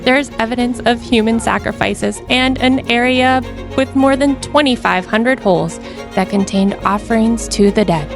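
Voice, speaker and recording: A woman's voice: on the high side, moderate pace, vocal fry. Upbeat woman narrating a travel tour. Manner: upbeat tour-guide delivery. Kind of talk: scripted voice-over narration. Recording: voice-over with music behind.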